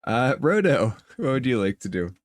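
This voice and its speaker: A man's voice: medium pitch, sing-song, moderate pace, no echo. A man working as game master, narrating a tabletop role-playing game and prompting the players.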